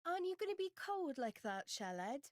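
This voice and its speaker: A woman playing an expressive, curious young companion in a video game cutscene. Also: sits high, normal speed, no echo, clean studio sound. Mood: curious wonder. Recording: faint music behind the voice.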